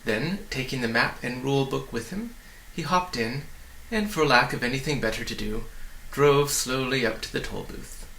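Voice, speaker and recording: A man reading a children's story aloud in an expressive, deliberate way, with friendly asides to the listener. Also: mid-range voice, gravelly, roomy sound, rough recording. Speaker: storybook reader.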